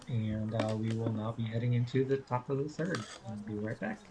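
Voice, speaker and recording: A man who is a native English speaker, commentating an amateur baseball game in a relaxed, rambling way with many fillers, speaking as a coach. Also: mid-range voice, brisk, background noise. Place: ballpark. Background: crowd.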